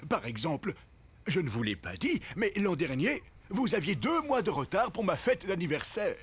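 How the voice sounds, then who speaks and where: A high, raspy, animated voice for a cartoon holidaymaker. He is cheerful and chatty. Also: moderate pace, full character voice. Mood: wry. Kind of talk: French cartoon dub.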